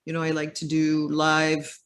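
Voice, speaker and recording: Hesitant man speaking fairly high. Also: completely flat delivery, measured pace, fairly bright tone. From Belgium or United States. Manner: hesitant, many fillers and restarts. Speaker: interview guest. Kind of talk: casual interview conversation. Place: home in a French village.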